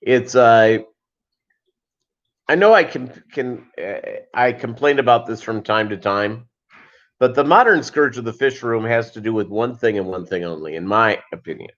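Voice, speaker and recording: A dull, lo-fi livestream recording of a man with a mid-pitched voice. He hosts a casual chat, rambling from one thought to the next and tossing in deadpan, joking asides. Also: normal speed. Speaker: host of a live fishkeeping chat show.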